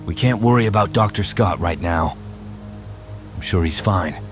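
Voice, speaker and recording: A man playing a big, gruff, sarcastic but protective soldier in a dramatized audio comic. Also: sits low, normal speed, music in the background, lightly acted. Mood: reassuring.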